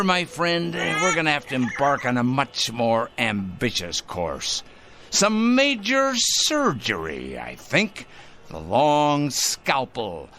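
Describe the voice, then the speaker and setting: Fussy self-righteous crank, wildly swooping delivery. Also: male voice, mid-range voice, heavily acted. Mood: fanatical, righteous. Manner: fussy, pompous, precise, sanctimonious. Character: self-righteous male crank, fanatical informer talking to his parrot. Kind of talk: radio drama acting.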